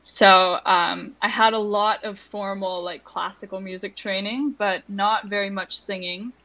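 Soft-spoken young woman guest. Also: medium pitch, steady tone, measured pace, dull audio. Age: young adult. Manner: soft-spoken, hesitant, with many ums and likes. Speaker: musician guest. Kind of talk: conversational interview.

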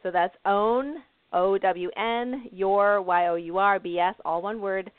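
A woman hosts a live call-in radio show, chatty and enthusiastic, often breaking into laughs. Her voice sits at an ordinary pitch and comes through a tinny, phone-like line with no room sound. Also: steady tone, a little slow, dry recording.

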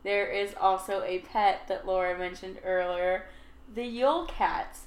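A woman's voice: mid-range voice, fairly even intonation, a little slow, echoey room. A woman co-hosting a podcast, chatting casually and hedging her thoughts with "like" and "I just feel". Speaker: podcast co-host. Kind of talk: casual chat.

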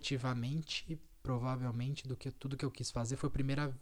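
A man, co-hosting a podcast and telling a story in a relaxed, rambling way. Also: medium pitch, brisk. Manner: relaxed storyteller, trailing filler words. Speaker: podcast co-host. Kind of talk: casual podcast chat.

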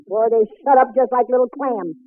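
A man's voice: very high voice, moderate pace, faint music behind. A man with an American accent playing a bumbling, overconfident suburban husband and father in 1940s radio comedy, stammering and muttering. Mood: flustered probing. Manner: flustered, stammering, muttering asides. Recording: muffled, narrow-band old radio recording.

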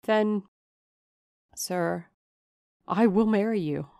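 A woman's voice: mid-range voice, drawn-out words, creaky, mellow, no room sound. A woman voicing a devoted but doubting young woman in an audiobook, earnest, guarded and questioning. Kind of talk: dramatic audiobook character reading.

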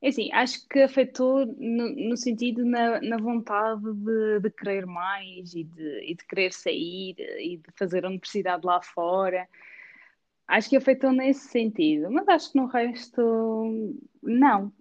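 A woman in her thirties with a Madeiran Portuguese accent, a guest answering in a halting, hesitant way with frequent laughs. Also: medium pitch, muffled recording. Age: 30s. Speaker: podcast guest. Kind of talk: casual conversational interview. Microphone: remote call.

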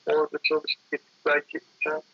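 A man talking casually and reflectively in an interview, halting and digressive, often correcting himself. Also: on the high side, completely flat delivery, slightly rough, no room sound, highs cut off. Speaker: architect guest being interviewed.

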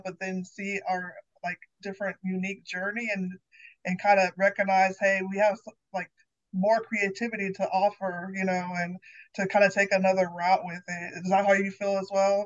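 A woman's voice: medium pitch, level tone, moderate pace, muffled recording. A woman hosting a podcast, a teacher herself, asking friendly, rambling questions with many fillers. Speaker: podcast host. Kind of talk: casual podcast chat.

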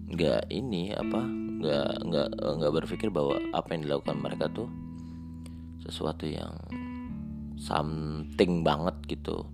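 A man's voice: extremely low pitch, creaky. A man, telling a personal story in a reflective, hesitant way with many restarts. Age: late 20s. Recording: guitar music playing behind.

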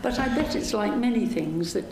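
A woman's voice: mid-range voice, animated, normal speed, a little mellow. A woman psychoanalyst interviewing, thoughtful and earnest, often affirming with short agreements. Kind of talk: conversational interview. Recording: roomy event recording with faint hum.

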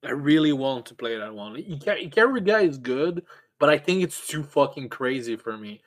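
A man's voice: medium pitch, expressive, moderate pace. A man in casual, profane podcast chat about video games, often stammering and repeating his words as he talks. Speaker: podcast co-host.

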